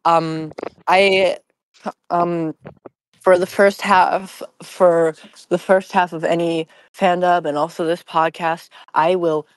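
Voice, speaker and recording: A woman guest on a casual group podcast, speaking in a halting, self-deprecating way. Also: fairly low pitch, no room sound.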